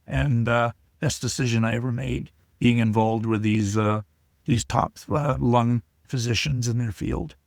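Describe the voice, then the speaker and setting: An older man speaks at a middle pitch, halting and shy, often restarting his sentences as he tells his story. He sounds close and dry, with no room around him. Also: steady tone, vocal fry, dry recording. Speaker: guest, COPD advocate. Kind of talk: casual interview talk.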